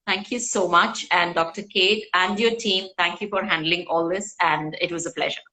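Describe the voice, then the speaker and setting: A woman from India, a guest doctor speaking in a measured, polite way in a live online interview over a video call. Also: lowish voice, fairly even intonation, bright, forceful tone.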